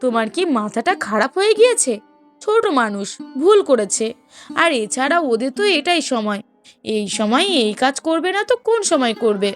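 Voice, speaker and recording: High-pitched young wife acted by a woman, cartoon drama, outspoken, proud, emphatic, music underneath. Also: sing-song, moderate pace. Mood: indignant. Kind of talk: Bengali moral-story cartoon voice acting.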